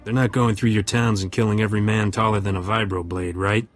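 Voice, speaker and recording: An actor voicing a roguish smuggler captain in a video game, laconic and wry. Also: male voice, lowish voice, level tone, brisk, dry recording, faint music behind, lightly acted. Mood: matter-of-fact.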